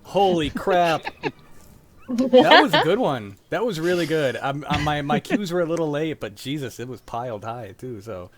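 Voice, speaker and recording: Lively young male host, chatty. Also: fairly high pitch, normal speed, crowd noise. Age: young adult. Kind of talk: casual chat.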